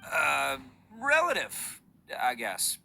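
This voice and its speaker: A man's voice: mid-range voice, huge pitch swings, slow, gravelly, sharp tone, exaggerated voice. An actor voicing a brash, vain but good-hearted young male oni in a video game, with a boastful, swaggering manner. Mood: awkward, earnest. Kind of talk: animated video game dialogue. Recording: background music under the voice.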